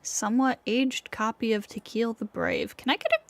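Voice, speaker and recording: A woman's voice: a little high, moderate pace, gravelly, no echo. A woman livestreamer reading game text aloud and commenting as she plays. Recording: game music behind the voice.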